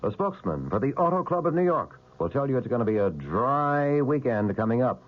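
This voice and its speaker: A man, a radio news anchor, delivering a brisk, punchy promo for the evening's news. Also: medium pitch, sing-song. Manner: brisk, punchy, playful questions. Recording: dull lo-fi old radio broadcast.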